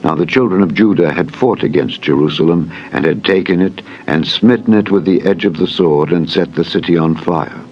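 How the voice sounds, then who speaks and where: Solemn low-voiced male scripture narrator. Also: moderate pace, hardly any pauses, lo-fi sound. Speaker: Bible narrator. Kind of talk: dramatized scripture reading. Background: music.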